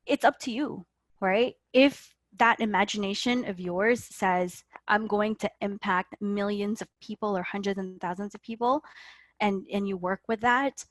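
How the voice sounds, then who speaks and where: A woman's voice: mid-range voice, a little slow, dry recording. A woman coach speaking earnestly in a dry, close recording. Her voice sits in a middle register, and she keeps asking "right?" as she explains. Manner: earnest, often tags sentences with "right?". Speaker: guest life coach. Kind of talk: motivational interview talk.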